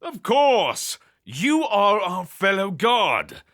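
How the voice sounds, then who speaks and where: A man's voice: sits high, big pitch swings, drawn-out words, a bit bright, full character voice. A man playing a flamboyant, haughty god of fire and war in a fantasy audio drama, theatrical and condescending. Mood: grandly pleased.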